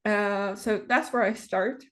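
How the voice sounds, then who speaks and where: Interview guest: non-native woman, twenties, hesitant delivery with frequent fillers, video call. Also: medium pitch. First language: not English. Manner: hesitant, many pauses and fillers. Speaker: interview guest, game builder. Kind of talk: casual conversation.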